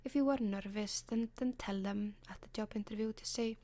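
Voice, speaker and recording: A woman with an Icelandic accent, whispering gentle advice in an ASMR style, often pausing with soft ums. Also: medium pitch, steady tone. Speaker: ASMR advice column host.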